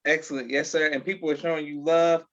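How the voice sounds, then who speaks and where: A man hosting a live online interview in a polite, halting manner, repeating words. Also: on the high side, little pitch movement, a bit bright. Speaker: podcast host, interviewer. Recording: dull lo-fi online call sound.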